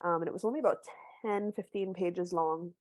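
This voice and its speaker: A woman from Barbados, an author, talking through a video call. She tells her story thoughtfully with small restarts and sounds a little hoarse from a cold. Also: on the low side, fairly quick, a little mellow, dry recording. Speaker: author guest. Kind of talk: casual book club video call conversation.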